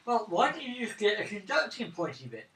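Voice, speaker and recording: Man, animated bright voice, cheeky banter, tabletop player, echoey distant mic. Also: high voice, fairly quick. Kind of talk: casual bantering chat. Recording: roomy, distant mic, faint noise.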